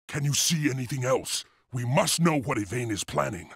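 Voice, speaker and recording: A man's voice: mid-range voice, animated, moderate pace, no echo, clean studio sound. A man voicing a steadfast, commanding rebel leader of a wolf clan in a video game. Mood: resolute. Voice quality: husky. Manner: commanding, resolute. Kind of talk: dramatic video game voice acting.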